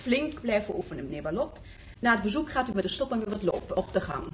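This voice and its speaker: An actress playing a firm, courteous hospital nurse with a brisk, polite manner in a radio play. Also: female voice, medium pitch, sing-song, close to an everyday voice. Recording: echoey, distant radio play with hiss.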